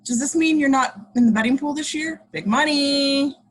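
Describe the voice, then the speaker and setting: Woman as a high-voiced nerdy office sidekick. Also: moderate pace, close to an everyday voice. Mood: teasing. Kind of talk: amateur screenplay table read.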